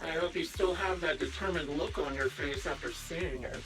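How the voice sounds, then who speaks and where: A woman playing a taunting, sadistic female sniper villain in a video game, with theatrical, mocking, drawn-out delivery. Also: deep voice, far from the mic, lightly acted. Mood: smug taunting. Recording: voice over loud game music.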